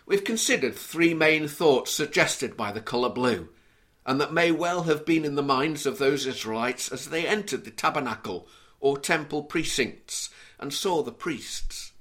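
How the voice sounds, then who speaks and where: A man from Liverpool with a mild Scouse accent, preaching an earnest, measured sermon and reading scripture aloud. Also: a little high, fairly bright tone. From Liverpool, England.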